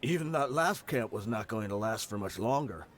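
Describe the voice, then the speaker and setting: Actor as a wry mercenary with swooping intonation. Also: male voice, on the low side, animated, moderate pace, slight background noise, lightly acted. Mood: resigned. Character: defeated male desert mercenary, wry and resigned. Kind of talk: scripted game dialogue.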